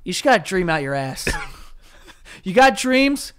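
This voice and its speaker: A man's voice: high-pitched, very animated, a little slow, a bit bright, clean tone. A man hosting a podcast, joking in casual, profane, slangy banter. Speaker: podcast host.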